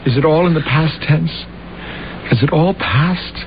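A man's voice: on the high side, a little slow. An actor playing an embittered, self-pitying and domineering husband losing his mind, in an agitated, pompous, old-time radio drama style. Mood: bitter. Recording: dull, noisy old radio recording.

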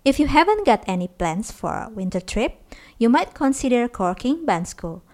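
A woman's voice: fairly high pitch, sing-song, moderate pace, darkish tone. A woman hosting, reading a scripted introduction for a show. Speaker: show host.

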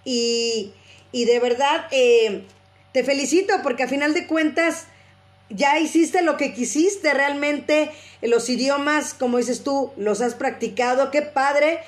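A woman's voice: high-pitched, takes it slowly. A woman with a Mexican accent hosting an interview, speaking encouragingly and congratulating her guest. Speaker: show host. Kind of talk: interview conversation.

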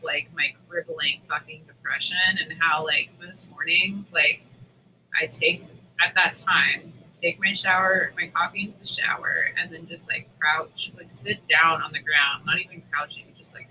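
Bright, candid woman chatting casually. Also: deep voice, moderate pace, slight background noise, low-quality recording. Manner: candid, self-deprecating, profane. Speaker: podcast co-host. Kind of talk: casual confessional chat.

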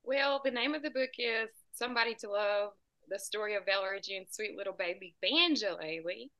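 A woman's voice: medium pitch, brisk, muffled recording. A woman with a Southern US accent, a singer-songwriter telling her story in an interview in an earnest, storytelling way. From Southern US, about two hours south of Nashville. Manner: warm storytelling, earnest and inspirational. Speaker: guest, singer-songwriter and children's author. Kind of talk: interview answers.

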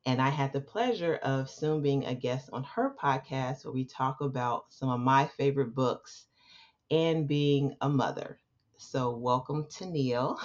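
A woman's voice: extremely low pitch, measured pace, darkish tone. A woman hosting a podcast, chatting with a friendly, upbeat manner as she welcomes and interviews a guest. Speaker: podcast host. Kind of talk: casual interview chat. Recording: dull, lo-fi podcast audio.

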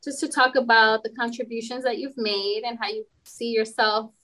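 A woman's voice: on the high side, fairly even intonation, moderate pace, sharp tone. A woman interviewer welcoming guests enthusiastically and asking them questions. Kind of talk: warm podcast interview.

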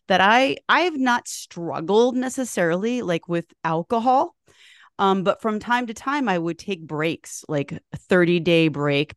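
A woman's voice: lowish voice, no echo, studio quality. A woman hosting a podcast interview, talking in a chatty, rambling, friendly way. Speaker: podcast host. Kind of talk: casual interview chat.

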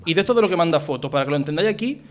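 A man from Spain co-hosting a chatty podcast. His voice sits a bit high, and he teases and jokes, repeating lines for emphasis as he reads listener messages. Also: expressive, racing. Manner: teasing, joking, emphatic repetition. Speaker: podcast co-host. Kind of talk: casual chat, reading listener messages.

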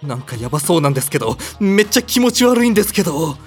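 A man's voice: fairly high pitch, sing-song, very fast, soft background music. A man playing an earnest, timid, kindhearted young hero in an audio drama, polite and easily flustered. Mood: nervous. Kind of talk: anime-like audio drama acting.

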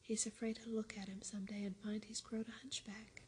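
A woman's voice: medium pitch, level tone, moderate pace, slight background noise, lightly acted. A woman whispering as Dickon, a kind Yorkshire farm boy. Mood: gentle. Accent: Yorkshire dialect. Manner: whispered, gentle.

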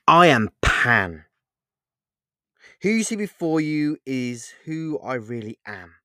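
A man acts out an ancient, wild god from a fantasy storybook. He is sardonic and bitter, declaiming his words with theatrical scorn. The delivery is deliberate and measured, in a dry, close sound. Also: medium pitch, big pitch swings, sharp tone, clean tone, no echo, pitched above the performer's own voice, exaggerated voice. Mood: proud, imperious. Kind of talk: dramatic fantasy storybook narration.

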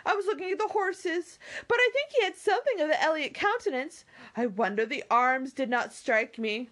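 A woman voicing an excitable, self-important young gentlewoman who gushes in exclamations, in a novel reading. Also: high voice, normal speed, pitched above the performer's own voice. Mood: ecstatic, gushing. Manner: gushing, exclamatory.

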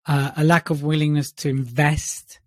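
A man's voice: a little high, level tone, moderate pace, dry recording, clean studio sound. A man co-hosting a podcast, teasing and joking in casual chat, with frequent "um"s and "uh"s. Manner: joking and teasing, many filler ums. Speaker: podcast co-host.

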